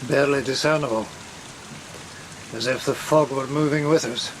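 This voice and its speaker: A man's voice: medium pitch, moderate pace, close to an everyday voice, rough recording. Stern, devout sea captain played by a man. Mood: challenging. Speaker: actor in an audio drama. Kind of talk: gothic horror audio play acting. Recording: steady rain and storm noise behind.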